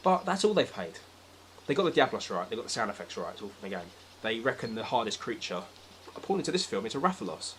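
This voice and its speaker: A man's voice: on the low side, big pitch swings, quick pace, a bit bright, slight background noise, poor audio. A British man in a casual, opinionated film discussion, talking emphatically with exasperated, sweary rants. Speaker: podcast speaker.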